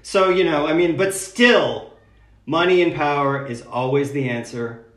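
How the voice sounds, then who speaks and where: A man's voice: on the high side, a little slow, fairly bright tone. A man speaking as a poet and podcast guest in a casual literary interview, candid, wry and chatty. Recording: echoey, roomy sound.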